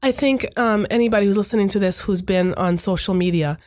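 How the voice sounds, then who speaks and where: A woman's voice: mid-range voice, darkish tone. Thoughtful woman, Hungarian first language. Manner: thoughtful, measured, frequent "right?" tags. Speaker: podcast guest. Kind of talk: reflective conversational talk.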